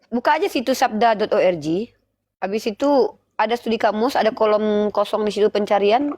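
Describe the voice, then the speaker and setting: A woman's voice: medium pitch, brisk, a little mellow, no room sound, studio quality. A woman, speaking didactically in a live online religious debate and putting rhetorical questions to listeners. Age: 30s.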